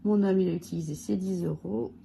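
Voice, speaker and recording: A hoarse woman with a cold chats casually about her budget, counting amounts out loud and filling pauses as she goes. Her voice is gravelly and dark. A faint room ambience hums behind her. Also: on the low side, fairly even intonation, slight background noise. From France. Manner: counts money aloud, many fillers. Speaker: budget vlogger. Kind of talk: casual budget review talk.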